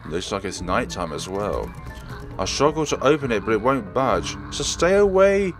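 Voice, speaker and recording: Theatrical low-voiced man narrating a horror game. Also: animated, moderate pace, over music. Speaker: let's player, host. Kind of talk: reading a horror game script aloud with commentary.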